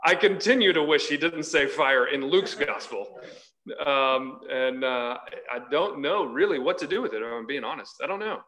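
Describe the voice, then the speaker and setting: Male preacher, forties, pitched a little high, emphatic scripture commentary with repetition for stress. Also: moderate pace, sharp tone. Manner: emphatic, repeats phrases for stress. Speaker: preacher leading a Bible study. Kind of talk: sermon with scripture reading and commentary. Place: large church hall, indoors.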